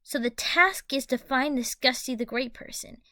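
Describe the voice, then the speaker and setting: Woman playing easygoing, bright male anime fighter. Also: sits high, normal speed, dry recording, studio quality. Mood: casual.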